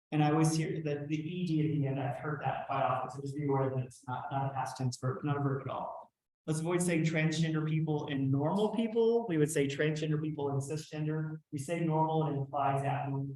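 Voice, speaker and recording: A man's voice: a little high, a little mellow, roomy sound, poor audio. A man from Texas with a light Texan drawl, teaching a workshop in a conversational way, with some fillers and restarts. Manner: conversational, with fillers and self-corrections. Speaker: trainer. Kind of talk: workshop lecture.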